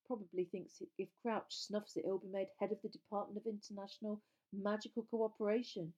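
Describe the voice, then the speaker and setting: A woman's voice: medium pitch, flat delivery, a little fast, warm tone, lo-fi sound, pitched above the performer's own voice, close to an everyday voice. A woman reading a storybook as a sardonic teenage boy, blunt and mocking, the teasing sidekick. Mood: sarcastic, teasing.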